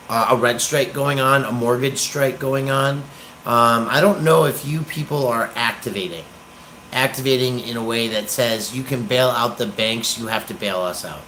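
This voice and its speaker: An American man rambling casually on a livestream, hesitant and pausing often as he talks. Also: medium pitch, fairly bright tone, slight background noise, poor audio. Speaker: livestream host, activist.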